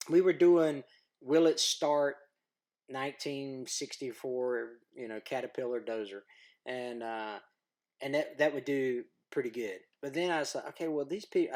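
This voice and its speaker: A man's voice: mid-range voice, darkish tone. A plain-spoken man talking casually as a podcast guest, laughing easily and joking about himself. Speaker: guest, YouTuber. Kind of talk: casual conversation.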